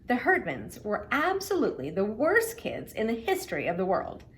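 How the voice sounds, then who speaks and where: Woman, middle-aged, children's read-aloud, highly varied intonation, expressive, faint noise in the background. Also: normal speed. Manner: expressive, simple explanations as if to children. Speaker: storyteller reading to children.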